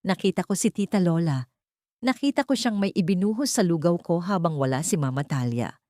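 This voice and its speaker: A woman's voice: on the low side, animated, a little fast, warm tone, no echo, clean studio sound, natural voice. A woman voicing a frightened, tearful small boy who speaks weakly and earnestly in an audio story. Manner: weak, earnest.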